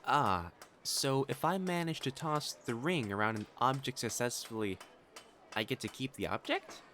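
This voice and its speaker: An actor playing a proud, secretly smitten young noble matchmaker in a romantic audio drama, with haughty formal diction that turns to stammering when flustered. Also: male voice, medium pitch, lively intonation, normal speed, sharp tone, slight background noise. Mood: wistful, formal. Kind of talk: acted romantic audio drama monologue to the listener.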